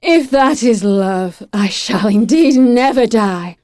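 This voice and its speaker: A woman acts out a proud young Siren of a radio play, dangerous and alluring. The voice is high and slow, recorded very dry. Every phrase is dramatic and commanding. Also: lively intonation, a little mellow, no echo. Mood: cool, curious. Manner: imperious, dramatic, deliberate. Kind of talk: audio drama acting.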